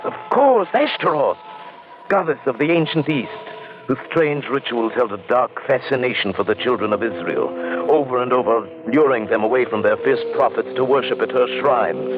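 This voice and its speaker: An actor playing a troubled, inquisitive gentleman in an old-time radio drama, speaking in an earnest, literate, theatrical way. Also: mid-range voice, narrow, tinny audio, close to an everyday voice. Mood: awed, dramatic. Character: troubled, inquisitive gentleman narrating an eerie tale.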